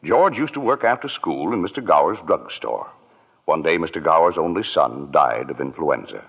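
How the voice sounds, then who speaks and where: A folksy, patient American elder narrator, very deep yet animated. He explains things as a radio drama storyteller. The sound is narrow and muffled, like an old broadcast. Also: normal speed, vocal fry, slightly rough, exaggerated voice. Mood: patient, grave.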